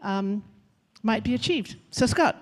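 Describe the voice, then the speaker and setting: A woman's voice: a little high, expressive, measured pace, slight background noise. A woman speaking as a moderator, introducing a conference panel in an unscripted way. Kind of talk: spontaneous stage introduction.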